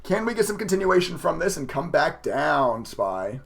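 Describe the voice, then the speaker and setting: A man with a raspy voice chatting casually to viewers as a livestreaming day trader, emotive and talkative. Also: fairly high pitch, sing-song, moderate pace, a bit bright. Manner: chatty, emotive, laughs often. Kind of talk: casual live stream commentary to viewers.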